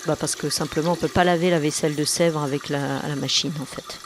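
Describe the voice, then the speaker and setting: A performer in an audio satire playing a sardonic, mock-fawning radio interviewer who needles with deadpan irony. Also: mid-range voice, fast, slight background noise, lightly acted. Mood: sarcastic. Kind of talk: scripted satirical audio fiction.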